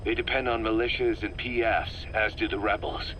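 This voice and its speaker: An actor playing a male mercenary commander who gives a brisk, direct briefing full of blunt warnings. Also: on the low side, fairly even intonation, muffled recording, a little hiss or hum, lightly acted. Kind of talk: video game voice acting.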